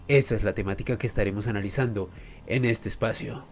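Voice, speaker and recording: A man's voice: fairly low pitch, fast talker. A man hosting a history and mystery show, narrating in a formal, emphatic, rhetorical storytelling manner. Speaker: host of a history and mystery channel. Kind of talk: semi-scripted documentary narration.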